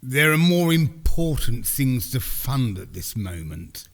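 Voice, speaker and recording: A man's voice: medium pitch, sing-song, slow pace, creaky, no room sound, exaggerated voice. An actor playing a brusque older English village man in an audio drama. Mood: curt. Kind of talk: scripted audio drama dialogue.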